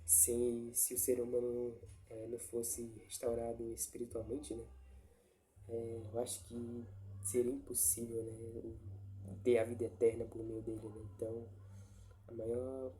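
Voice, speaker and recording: A man's voice: medium pitch, completely flat delivery, moderate pace, dark tone, poor audio. A man, stuffy with a cold, giving an informal Bible reflection with many restarts and tag questions. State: congested with a cold. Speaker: lay preacher sharing a Bible reflection. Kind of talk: informal devotional talk. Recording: faint background noise.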